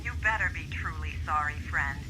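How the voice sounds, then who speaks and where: A woman's voice: deep voice, normal speed, over music, rough recording. A woman playing a curt, officious hangar controller over radio comms in an audio drama. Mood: stern. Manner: curt, by-the-book.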